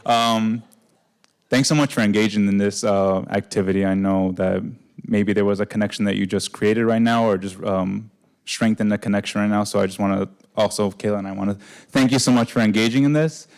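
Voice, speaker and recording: A man giving casual closing remarks at a live event, with many fillers and a voice he calls monotone. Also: fairly low pitch, fairly quick, clean tone. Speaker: event facilitator. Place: indoor event venue.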